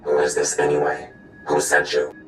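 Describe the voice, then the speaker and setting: A man's voice: very deep voice, monotone, normal speed, echoey room, soft background music. An actor playing a suspicious, demanding male informant who speaks in a terse, distrustful way in a video game. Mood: wary, irritated.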